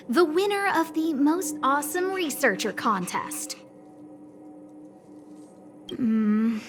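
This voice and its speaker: A woman voicing an anxious, bossy but soft-hearted young lead researcher in a video game, speaking earnestly and expressively. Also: sits high, slight background noise, exaggerated voice. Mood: wary, scornful. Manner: earnest, fretful, expressive, with sighs and hesitant pauses.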